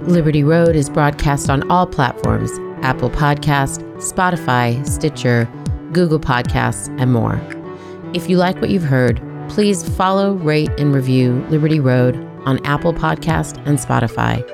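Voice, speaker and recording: A woman hosting a podcast, speaking in an earnest, measured and affirming way. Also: sits low, gravelly, music in the background. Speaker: podcast host. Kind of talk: podcast interview and outro.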